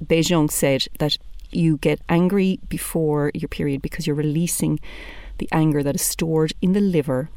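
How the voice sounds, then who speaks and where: A woman's voice: deep voice, fairly even intonation, normal speed, few pauses, warm tone, no room sound. A woman in her thirties with an Irish accent, doing a chatty, blunt stand-up monologue. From Ireland. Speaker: comedian.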